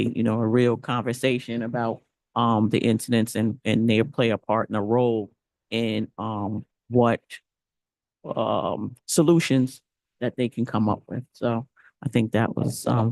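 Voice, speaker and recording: A man with a gravelly voice chairs a public board meeting. He speaks in a measured, halting way, with many pauses on "um". The sound is dry and close. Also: mid-range voice, a little mellow, no room sound, low-quality recording. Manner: measured, hesitant with ums.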